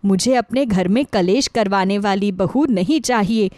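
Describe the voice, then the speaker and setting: A woman's voice: medium pitch, unhurried, mellow, no room sound, studio quality, voice pushed down, lightly acted. Woman voicing an affectionate, fretful elderly Indian mother-in-law. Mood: worried, stern. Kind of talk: acted dialogue in a moral story.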